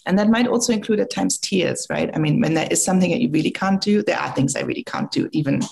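German-accented older woman with gravelly voice. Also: lowish voice, quick pace, darkish tone. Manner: reflective, thinking aloud with frequent restarts and fillers. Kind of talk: conversational talk. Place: home room, video call. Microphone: video call.

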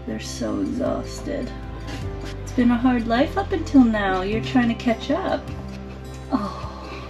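A woman speaks in a high, very animated voice, cooing slowly in baby talk to animals. Music plays clearly behind her. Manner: cooing, drawn-out. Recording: loud-ish music over the voice.

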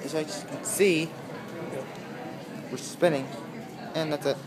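A man casually narrating a city view from a revolving restaurant, naming landmarks in a low, matter-of-fact way on a handheld camera. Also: steady tone, takes it slowly. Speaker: amateur videographer filming a city view. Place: revolving rooftop restaurant at night. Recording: busy restaurant chatter behind. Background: diners chatting.

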